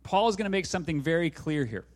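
High-voiced man preaching conversationally. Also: animated, quick pace. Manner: conversational, talks straight to the congregation with asides. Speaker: pastor. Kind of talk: sermon with live interpreter.

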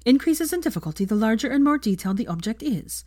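A woman's voice: on the high side, sing-song, fast, a little mellow, dry recording, clean studio sound, voice pushed up. A woman voicing a bookish young female teacher who is exasperated and easily indignant, in a story reading. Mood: determined. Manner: muttering, indignant.

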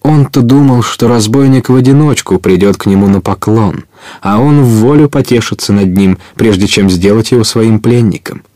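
A man's voice: on the low side, normal speed, mellow, no room sound. A man narrating an adventure audio play as a storyteller, scripted and dramatic.

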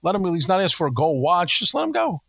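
A man of middle pitch talking quickly and with emphasis as a podcast co-host. His voice sounds dry and close to the mic, with no room around it. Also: huge pitch swings, vocal fry, a bit bright, no echo. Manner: rapid, emphatic, repeats words when worked up. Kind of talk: casual opinionated chat.

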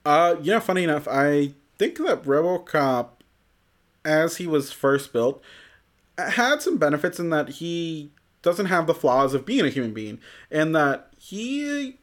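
A man's voice: on the high side, very animated, measured pace. A man co-hosting a podcast, talking casually in a rambling way with lots of "you know" fillers. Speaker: podcast co-host. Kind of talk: casual chat.

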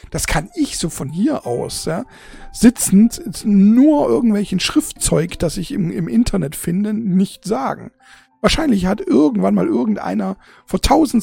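A forty-year-old with a dark, husky voice and plenty of fry talks candidly to viewers. The delivery is halting and repetitive, searching for words, emotional and peppered with casual swearing. It is an unscripted, personal monologue. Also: mid-range voice. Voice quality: husky, gravelly.

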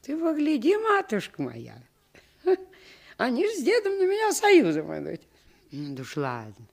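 A woman's voice: high voice, huge pitch swings, normal speed, creaky, darkish tone, exaggerated voice. An actress playing a doting, scolding old grandmother in a radio play, with rustic speech and a folksy, fussing manner. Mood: grumbling, relenting.

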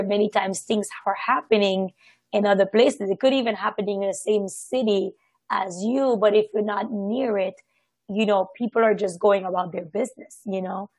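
A woman from Haiti giving a casual, reflective personal account, halting and often circling back to correct herself. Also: medium pitch, breathy edge, dry recording. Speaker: participant sharing personal experience in a group discussion.

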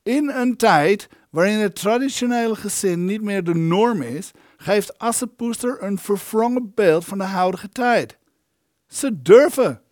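An actor playing the indignant ghost of an elderly film maker in an audio drama, wordy, aggrieved and theatrical. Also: male voice, high-pitched, expressive, a little slow, a little mellow, dry recording, clean studio sound.

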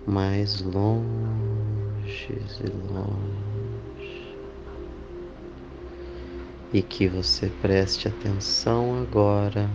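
A man leading a guided meditation, speaking in deliberate phrases with long pauses. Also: fairly low pitch, dull audio. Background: clear background music.

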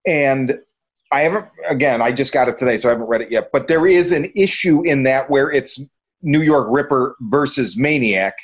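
A man's voice: medium pitch, expressive, normal speed. A man hosting a podcast, chatting casually in a rambling, enthusiastic way. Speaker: podcast host. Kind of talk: casual review chat.

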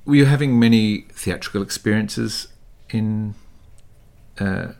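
Deep-voiced man hosting a sit-down interview, curious and encouraging. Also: a little slow, creaky, darkish tone. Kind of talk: interview conversation.

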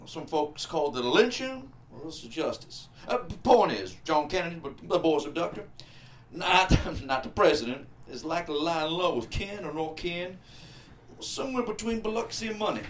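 A man plays a small-town Southern sheriff, sly and needling. The voice sits fairly high and swings around in folksy, insinuating turns, as in a screenplay drama read, with faint noise behind it. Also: animated, lightly acted. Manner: folksy, insinuating, drawn out with pauses.

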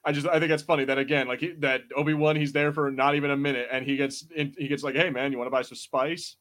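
A man co-hosts a podcast in a casual review chat. His talk rambles along loosely and informally, with some swearing, in a mid-pitched voice. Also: fairly even intonation, fast talker, fairly bright tone. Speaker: podcast co-host.

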